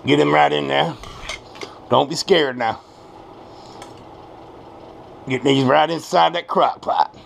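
A fairly high-voiced American man with a gravelly edge chats casually as he cooks. He is folksy and salty, repeating phrases as he goes. Kitchen clatter and sizzle run clearly behind him. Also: takes it slowly, pauses often. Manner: folksy, salty-tongued, repeats himself, talks to the food. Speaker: home cook presenting a recipe. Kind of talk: casual cooking demonstration, off the cuff. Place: small home kitchen at the stove. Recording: clear kitchen noise, pan and utensil clatter. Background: frying, stirring, clinking dishes.

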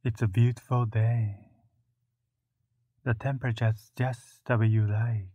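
A man leading a guided meditation in a soothing, measured way, with long pauses between short phrases and a narrow, muffled sound. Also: medium pitch, flat delivery, warm tone, husky, no room sound.

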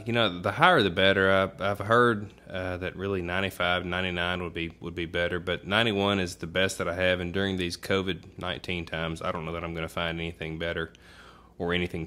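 Guy with a lowish voice doing a laid-back diy walkthrough at home, kinda thinking out loud. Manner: informal, folksy, thinking aloud. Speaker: DIY demonstrator. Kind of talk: casual how-to narration. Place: indoor room at home.

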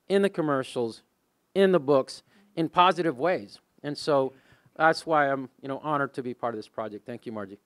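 A Texan man in his forties whose first language is Spanish, speaking into a handheld mic at a panel in a museum room. His voice is smooth and clear, sits a little high for a man, and sounds dry and close. He rambles loosely, with lots of fillers and restarts. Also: dry recording. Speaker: poet and high school English teacher on a panel. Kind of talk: casual panel talk. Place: museum meeting room with a small audience.

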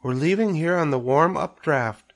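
A man's voice: a little high, normal speed, voice pushed up, close to an everyday voice. A man voicing tiny young female spiders in a storybook, dainty, polite and eager. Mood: breezy, proud.

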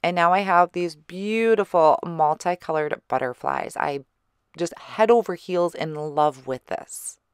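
A woman giving a chatty voice-over for a card-making tutorial, her voice slightly hoarse. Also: lowish voice, measured pace, no echo, studio quality. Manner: relaxed, chatty explaining with small fillers. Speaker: card-making channel host. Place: recorded separately as voice-over.

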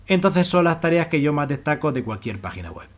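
A man's voice: on the high side, very animated, fairly quick. A man with an accent from Spain giving a casual, wordy screen-share walkthrough as an online presenter, often repeating himself to explain. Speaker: YouTube presenter of money-making websites. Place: voice-over on a screen recording.

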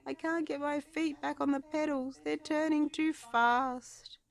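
Woman putting on an excitable, high-pitched little boy. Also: creaky. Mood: panicked. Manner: squealing, drawn-out exclamations.

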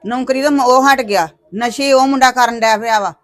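A sharp, quick-talking aunt, played by a woman, hurls accusations at her niece in a family drama. Her voice sits high and the words come fast. Soft music plays underneath. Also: lightly acted. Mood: accusing. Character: scolding meddling aunt, older woman. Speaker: aunt (maasi).

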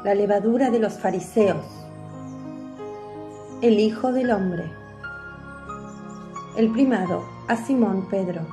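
A woman's voice: mid-range voice, takes it slowly, pauses often, music in the background. A woman reading scripted narration for a biblical radio drama.

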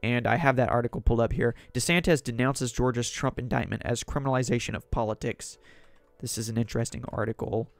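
A man with a sore, hoarse throat hosting a solo podcast, reading news articles aloud and rambling on about politics. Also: medium pitch, steady tone, fast talker, dry recording, soft background music. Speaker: podcast host.

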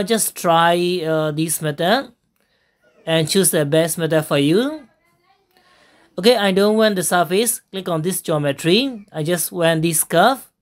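Lowish-voiced woman, walking through software steps slowly with lots of uhs. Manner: step-by-step instructions with frequent fillers and pauses. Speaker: software tutorial narrator. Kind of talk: screen-recorded software tutorial voice-over.

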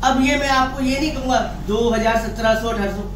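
A middle-aged man giving an emphatic, repetitive phone seller's sales pitch to camera. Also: fairly quick. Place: small indoor shop office. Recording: roomy, distant mic, noisy background.

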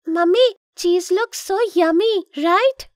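A woman's voice: very high voice, takes it slowly, no room sound, clean studio sound. A woman voicing an eager, curious young child in a children's cartoon, with an inquisitive manner.

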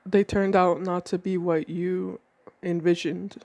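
A woman with a cold reads tarot cards at a table indoors, her tone mellow and dark. She talks calmly and measuredly, slowing down as she names each card. The sound is dry and close, with little room in it. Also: lowish voice, no room sound. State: has a cold. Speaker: tarot reader. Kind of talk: tarot reading monologue.

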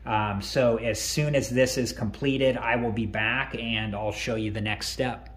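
A man hosting a tech tutorial, calmly talking through each step on screen with frequent ums and uhs. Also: medium pitch, a little slow. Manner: relaxed, many ums and uhs.

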